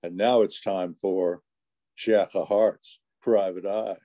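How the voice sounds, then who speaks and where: A man's voice: low voice, fairly even intonation, slow pace. A man playing a radio announcer, presenting a show and its commercials in folksy old-time radio style. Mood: upbeat, presenting.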